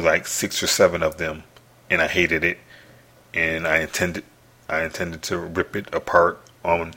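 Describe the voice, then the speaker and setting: A man hosting his own podcast from an apartment, speaking softly in a halting, rambling monologue full of restarts and fillers. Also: sits low. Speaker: podcast host.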